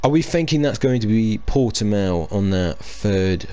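A man's voice: fairly low pitch, lively intonation, unhurried, dark tone. A man hosting a casual sports podcast, chatty and hesitant with lots of fillers and restarts. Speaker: podcast host. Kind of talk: casual chat.

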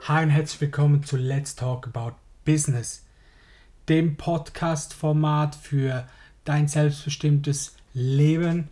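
A man with a Swiss German accent hosts his own podcast, his voice hoarse and a little strained. He talks alone in a loose, informal way, as if speaking to one listener. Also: a little high, steady tone, takes it slowly, dark tone, soft background music. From Switzerland. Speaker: podcast host. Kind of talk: casual solo podcast monologue.

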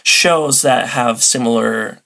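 A man's voice: medium pitch, takes it slowly, vocal fry, sharp tone. A man with a mid-range voice talks as a guest in a casual podcast interview. He is chatty and enthusiastic, explaining things in an informal, conversational way.

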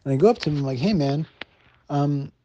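A man's voice: on the high side, a little fast, dark tone, highs cut off. A young man from Miami telling a casual anecdote, rambling with lots of "like" fillers. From Miami, United States.

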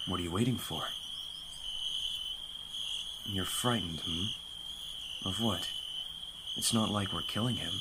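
A man playing a proud, protective vampire master in an audio roleplay, speaking in a measured, formal, instructive way. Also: deep voice, heavy background noise.

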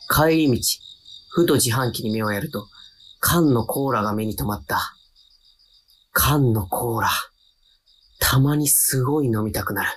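A man's voice: mid-range voice, unhurried, long pauses, close to an everyday voice. An actor playing a timid young man who narrates his own radio drama with wry, self-deprecating asides. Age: young adult. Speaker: narrator-protagonist. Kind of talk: radio drama inner monologue.

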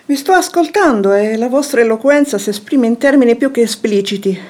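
An actress playing a poised, discreet noble wife in a radio drama, speaking in a measured, formal, coolly tactful way. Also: female voice, fairly high pitch, animated, darkish tone, close to an everyday voice. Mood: coolly probing. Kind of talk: theatrical radio drama acting.

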